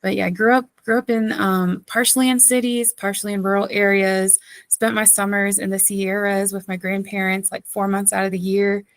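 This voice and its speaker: Woman pastor from southeastern North Carolina, a podcast guest; easy storyteller who laughs often, mid-pitched voice, dry close sound. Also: flat delivery, moderate pace, few pauses, dry recording. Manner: chatty, laughs often, many fillers. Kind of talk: casual interview storytelling.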